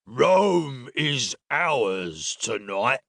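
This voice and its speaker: A man's voice: mid-range voice, sing-song, very slow, gravelly, fairly bright tone, no echo, studio quality, exaggerated voice. A man voicing a drunken, belligerent male Roman soldier in an audiobook, boastful and bullying. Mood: swaggering.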